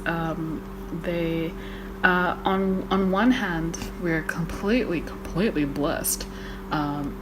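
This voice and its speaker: A woman's voice: lowish voice, background noise. Chatty young woman from Malaysia. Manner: chatty, swearing, many fillers. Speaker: podcast co-host. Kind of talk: casual chat.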